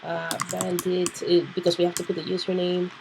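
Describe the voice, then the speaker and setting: A woman hosting a casual computer tutorial, nasal and clearing her throat because she is sick, thinking aloud with fillers. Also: on the low side, monotone, normal speed, noisy background. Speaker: tutorial host. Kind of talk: casual screen-recorded tutorial.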